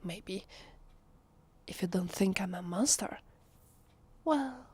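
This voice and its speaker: A woman with an Italian accent playing a nervous, fragile, defensive female vampire in an ASMR roleplay, speaking softly and haltingly in a breathy, often whispery voice. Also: medium pitch, animated, full character voice. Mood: vulnerable, shy. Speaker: voice actress. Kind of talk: ASMR roleplay, soft acted monologue.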